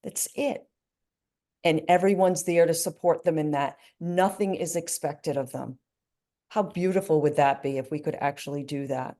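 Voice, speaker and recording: Low, mellow-voiced woman grief coach. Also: moderate pace. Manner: earnest, reflective, many "you know"s. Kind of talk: interview answers.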